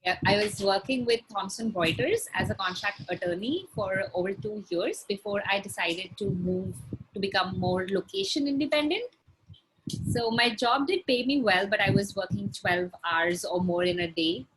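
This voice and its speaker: A woman's voice: mid-range voice, expressive, moderate pace, noisy background. Chatty young woman with an Indian accent. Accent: Indian English. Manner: chatty, laughs between points, light fillers. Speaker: guest, blogger. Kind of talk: conversational interview answers.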